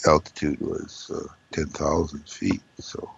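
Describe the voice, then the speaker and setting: A man with a hoarse, froggy voice, chatting loosely as a regular guest and rambling with many ums and uhs. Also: a bass voice, monotone, measured pace, no room sound, whispered, muffled recording. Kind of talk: casual conversation.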